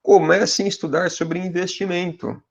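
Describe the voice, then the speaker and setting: A man in his forties with a Brazilian Portuguese accent, a university professor giving conversational advice to graduate students. He speaks straight to his viewers and keeps tagging his sentences with quick checks for agreement. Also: sits high, creaky. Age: 40s. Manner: speaks directly to viewers as "pessoal".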